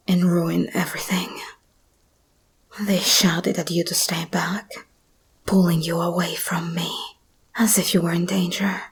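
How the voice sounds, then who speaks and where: Woman as a slow, whispering monster girl. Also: fairly low pitch, full character voice. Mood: bitter, yearning. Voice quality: breathy whisper. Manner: slow, intimate, intense. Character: escaped monster hybrid woman, possessive, tender, predatory. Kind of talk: ASMR roleplay, whispered.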